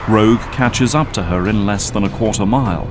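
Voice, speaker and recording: A man's voice: on the low side, fairly quick. A man reading scripted, dramatic narration as the narrator of an audio drama. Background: background music.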